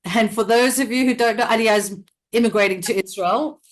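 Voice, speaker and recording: A middle-aged woman from South Africa, talking casually as an interview guest, chatty and quick to laugh, with many restarts and fillers. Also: fairly high pitch. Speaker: interview guest, theatre company director.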